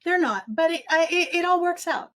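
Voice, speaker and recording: A woman's voice: very high voice, animated, normal speed, fairly bright tone, no room sound, clean studio sound. A middle-aged woman co-hosting a book podcast, chatting casually and repeating short phrases for emphasis. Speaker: podcast co-host.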